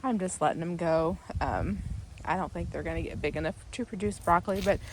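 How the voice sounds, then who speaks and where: A woman, slightly raspy from a sore throat, chatting casually through a garden vlog while filming outdoors on her phone. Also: fairly low pitch, fairly quick, a little hiss or hum. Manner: chatty, rambling with many ums. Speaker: vlogger, gardening channel host. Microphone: phone held in hand.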